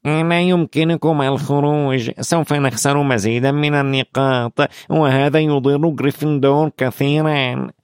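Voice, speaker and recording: A man's voice: fairly high pitch, fairly even intonation, normal speed, hardly any pauses, clean tone, no echo, clean studio sound, pitched above the performer's own voice, lightly acted. A man voicing a timid but stubborn schoolboy who insists in a strained, pleading way. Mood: insistent.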